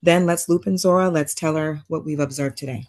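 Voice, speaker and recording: Woman playing a tired caring therapist, fairly low voice, steady and earnest, table read drama. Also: brisk. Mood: resolute. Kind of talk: naturalistic table read acting.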